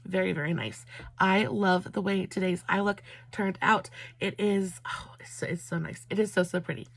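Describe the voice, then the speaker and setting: A woman vlogger, slightly hoarse from a cold, chatting effusively while doing her makeup and telling a story. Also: medium pitch, steady tone, no echo. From Southern United States. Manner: warm, effusive, drawn-out emphatic phrasing. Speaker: beauty vlogger.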